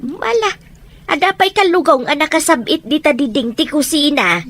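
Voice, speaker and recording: Actress as outspoken Filipino mother, high voice, teasing, radio drama. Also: animated, moderate pace, fairly bright tone, a little hiss or hum. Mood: everyday, chatty. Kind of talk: radio drama acting in Ilocano.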